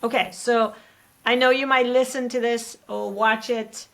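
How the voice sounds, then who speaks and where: A woman's voice: on the high side, steady tone, measured pace, a bit bright. A woman in her late thirties with a French accent, speaking as a guest acupuncturist and educator in a casual interview, earnest and emphatic, often closing points with a tag question. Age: late 30s.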